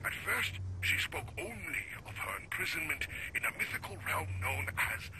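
An actor playing a grave, learned scientist recording formal, measured research logs for a video game, through an old radio filter. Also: male voice, on the high side, big pitch swings. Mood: troubled. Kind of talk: scripted video game audio log narration. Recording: noisy old radio-style audio log.

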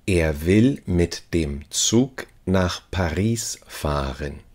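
Slow, deep-voiced man reading phrases. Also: animated, darkish tone. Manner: reads each phrase slowly and repeats it. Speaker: language teacher.

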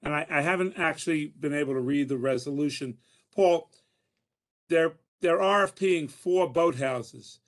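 Slightly high man asking halting questions. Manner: hesitant, apologetic, stumbling restarts. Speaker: board member asking a question. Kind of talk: video-call board meeting. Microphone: video call.

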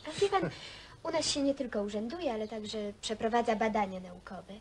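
An actress playing a courteous, earnest researcher, formal and a little hesitant, in naturalistic film style. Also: female voice, a little high, normal speed, natural voice. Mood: polite. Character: polite, earnest female sociology researcher conducting a survey. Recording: faint background noise, old film soundtrack.

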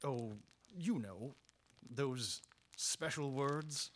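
Man playing a husky, blustering, scheming Santa. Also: mid-range voice, very slow, a bit bright. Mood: flirtatious. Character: jolly, scheming, flustered middle-aged Santa Claus, cheating husband. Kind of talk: comic live radio soap parody. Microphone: stand microphone in small radio studio.

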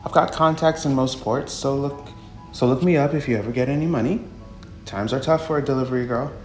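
A man voicing a friendly, plainspoken delivery woman in a visual novel, chatty and expressive. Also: medium pitch, brisk, natural voice. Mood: breezy, teasing. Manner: expressive, animated. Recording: echoey room under music.

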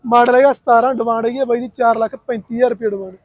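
A man's voice: extremely high pitch, fairly even intonation, very fast, highs cut off, a crowd in the background. A man with a Punjabi accent hosting casually, asking a seller questions and haggling over prices. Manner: haggling, brief repeated acknowledgements. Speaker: video host interviewing a seller. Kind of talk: casual question-and-answer sales presentation.

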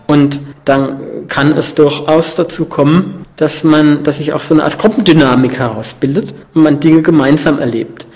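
A man's voice: a little high, moderate pace, hardly any pauses, a little mellow. A man speaking as an interviewed social scientist, explaining in an academic, reflective way.

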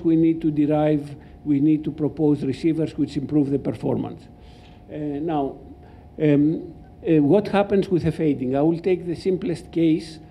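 Older Greek-accented male lecturer, gravelly. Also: a little high, dark tone, slight background noise. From Greece. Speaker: professor lecturing. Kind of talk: academic slide lecture. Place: indoor lecture hall. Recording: dull, muffled lecture-hall recording.

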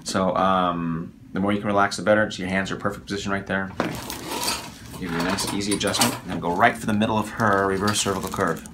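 A man's voice: deep voice, fast, background noise. A middle-aged man, a chiropractor, talking and joking with a patient and the viewers during a session in a small office. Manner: jokey, animated, talks to viewers. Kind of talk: chatty patient session on camera. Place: small office with framed pictures.

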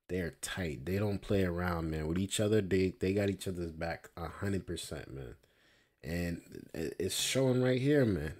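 A young man talks in a deep, dark voice at an unhurried pace. He reacts to a news clip from a small room, drawing out his words and tagging lines with "man". It is casual commentary into a close webcam mic. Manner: slow, drawn-out, repeats "man" often. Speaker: video commentator.

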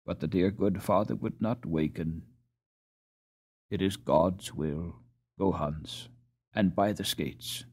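A man's voice: low voice, takes it slowly, long pauses, darkish tone, slightly rough, pitched below the performer's own voice, exaggerated voice. A man voicing a bustling, talkative Dutch mother in an audiobook, telling things in an emphatic, breathless, run-on way. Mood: resigned, tender. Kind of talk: audiobook character reading.

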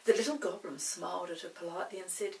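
A woman in her sixties narrating a children's story expressively, in a dramatic, deliberate manner. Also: lowish voice, gravelly, recorded from a distance, a little hiss or hum. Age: 60s. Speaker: storybook reader. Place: small indoor set with dark backdrop.

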